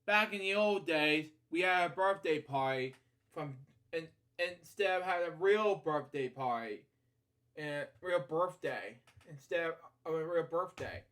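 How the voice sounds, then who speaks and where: A man hosting a bedtime story read-aloud, halting and rambling as he drifts into casual asides. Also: fairly high pitch, expressive, fairly bright tone. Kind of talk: picture-book read-aloud with casual off-the-cuff commentary.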